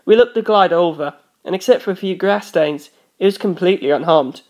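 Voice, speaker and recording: A woman's voice: lowish voice, brisk, darkish tone. A woman reading scripted first-person story narration as an audiobook narrator.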